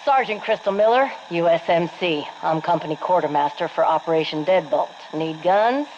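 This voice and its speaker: An actress playing a brash, folksy Marine quartermaster with an American accent, delivering sassy, salty patter for a game. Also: female voice, lowish voice, expressive, normal speed, a little mellow, close to an everyday voice. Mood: brash, cocky. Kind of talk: scripted game monologue. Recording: dull, noisy radio log.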